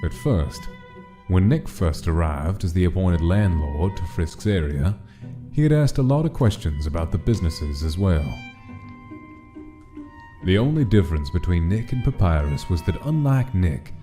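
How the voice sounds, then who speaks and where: Low, dark-voiced male story narrator. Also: lively intonation, normal speed, soft background music. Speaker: narrator of a comic dub. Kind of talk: scripted dramatic story narration.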